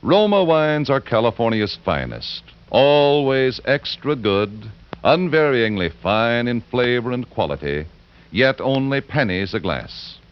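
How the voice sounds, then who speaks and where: Animated vintage male radio pitchman. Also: unhurried, clear, resonant tone. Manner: polished, emphatic salesman delivery. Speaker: sponsor's commercial announcer. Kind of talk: scripted 1940s radio advertising. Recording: dull 1940s radio broadcast.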